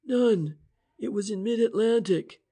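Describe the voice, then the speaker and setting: A brisk, excitable police inspector. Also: male voice, high-pitched, voice pushed up. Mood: excited. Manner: eager, emphatic and commanding.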